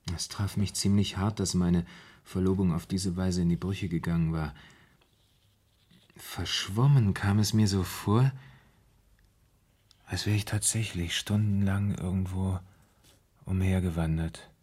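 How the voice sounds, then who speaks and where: An actor in a 1970s German radio play, playing a troubled, brooding man confessing his fear of madness in halting, dramatic storytelling. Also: deep voice, steady tone, darkish tone, slightly rough. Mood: bewildered, troubled.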